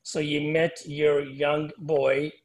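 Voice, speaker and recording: A man's voice: fairly high pitch, level tone, takes it slowly. A man hosting a podcast, asking casual interview questions in a loose, chatty way with fillers. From United States. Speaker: podcast host.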